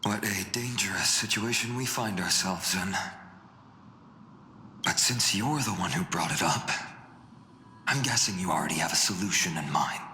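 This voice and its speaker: An actor playing a calm young heroic leader in a game, speaking in a measured, formal, earnest manner. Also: male voice, deep voice, a little hiss or hum. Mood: calm, grateful. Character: calm young male hero, earnest, courteous campaign leader. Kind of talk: dramatic game voice acting. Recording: echoey reverberant hall, music behind.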